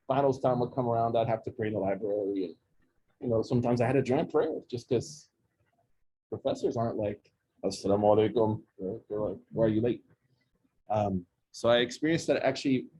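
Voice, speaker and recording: Oklahoman man in his forties, mellow husky voice, jokey teacher working the room. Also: medium pitch, rough recording. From Oklahoma, USA. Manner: chatty, jokey, asks the audience questions. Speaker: imam leading a student session. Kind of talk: interactive talk with students. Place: campus center room with a student group.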